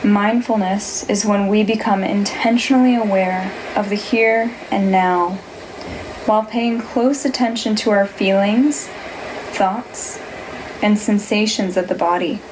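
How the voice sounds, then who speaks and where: A woman leading a guided meditation, giving gentle, unhurried instructions in a soothing manner. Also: medium pitch, steady tone, background noise. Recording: music behind the voice. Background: music.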